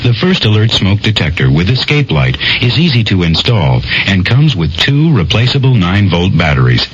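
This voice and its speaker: A man's voice: fairly low pitch, sing-song, moderate pace. A man reading a scripted 1970s radio commercial as an announcer. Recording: dull, slightly noisy radio broadcast.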